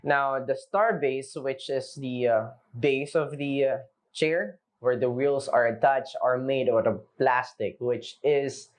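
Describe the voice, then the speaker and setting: A young Filipino man, a native Filipino speaker, giving an unscripted casual product review, loose and chatty with lots of fillers as he talks to viewers. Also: mid-range voice. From Philippines. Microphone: camera mic.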